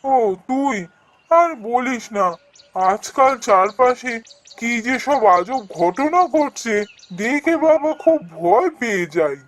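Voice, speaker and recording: A man's voice: extremely high pitch, lively intonation, slow pace, a bit bright, exaggerated voice. A man playing a wily village woodcutter in a cartoon fairy tale, feigning innocence in a theatrical, pleading way. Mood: feigned worry.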